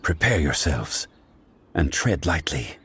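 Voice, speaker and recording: Deep, dignified, commanding man. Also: sing-song, pushed and bright, slight background noise, full character voice. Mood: grave, commanding. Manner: formal, measured, authoritative. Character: calm, dignified, commanding man, scholarly and grave. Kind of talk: video game voice acting. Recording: game music behind the voice.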